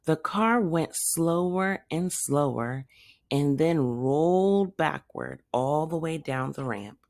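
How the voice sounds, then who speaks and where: A woman reading a children's story aloud as a teacher would, with expressive narration. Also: low voice, slow pace, no echo, studio quality.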